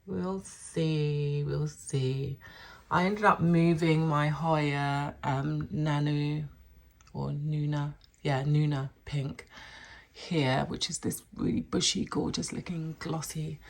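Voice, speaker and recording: A woman's voice: deep voice, steady tone, slow pace, gravelly. A woman with a bit of a cold, chatting in a rambling way as she shows her houseplants in a home vlog. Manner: rambling, chatty, self-correcting. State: stuffy with a cold. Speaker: plant vlogger. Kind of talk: chatty plant care vlog.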